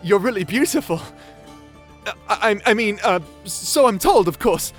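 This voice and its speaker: A man's voice: sits high, expressive, unhurried, a bit bright, faint music behind. A man playing a shy, nerdy young wizard in a fantasy audio roleplay, stammering, bashful and apologetic. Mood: flustered admiration. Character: shy nerdy young male wizard, flustered, eagerly polite.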